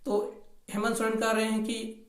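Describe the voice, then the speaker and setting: A man's voice: high-pitched, completely flat delivery, moderate pace. A man presenting an informal news explainer, repeating points and mixing English terms into his Hindi. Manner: repetitive, mixes in English terms. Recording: echoey room recording.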